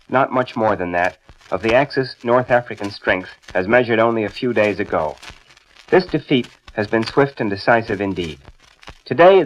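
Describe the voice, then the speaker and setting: Low-voiced wartime radio news analyst. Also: male voice, slight background noise, rough recording. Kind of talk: formal 1940s radio war commentary.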